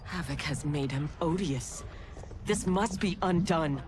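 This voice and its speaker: A woman's voice: sits low, a little slow. An actress playing a loyal woman warrior in a video game, speaking formally with earnest, indignant insistence. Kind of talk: dramatic video game cutscene acting.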